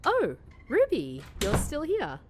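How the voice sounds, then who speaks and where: A woman's voice: high voice, huge pitch swings, slow pace, slight background noise, heavily acted. A woman playing a grumpy, sardonic, hungover young woman in a comedic fantasy audio drama, sarcastic and dry. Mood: mock-sweet. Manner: sarcastic, mock-sweet then dry.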